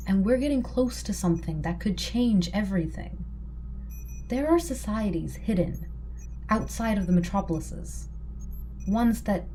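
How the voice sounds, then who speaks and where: Rebel leader, played by a woman, earnest, measured, audio drama, mellow tone, faint background noise. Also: mid-range voice, lightly acted. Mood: resolute. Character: earnest, composed rebel leader, idealistic and persuasive.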